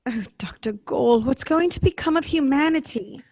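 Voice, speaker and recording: Woman acting a distressed, lively-voiced idealistic lady. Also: sits high, moderate pace, dry recording, studio quality. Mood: distressed, pleading. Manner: earnest, pleading. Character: distressed tender-hearted idealistic young lady. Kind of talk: 1930s radio drama acting.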